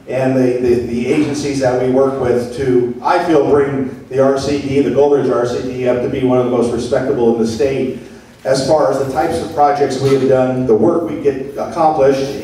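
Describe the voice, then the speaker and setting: A man giving a halting presentation about conservation work, often repeating words. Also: medium pitch, dark tone. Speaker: board member of a conservation district. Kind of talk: presentation to a club meeting. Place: meeting room. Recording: echoey room from far off.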